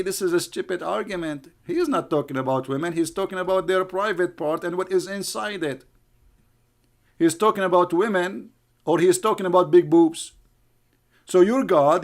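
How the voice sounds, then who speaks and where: Sarcastic man with an Arabic accent. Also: on the high side. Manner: sarcastic, mocking, broken English grammar. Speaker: online religious debater. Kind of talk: combative commentary.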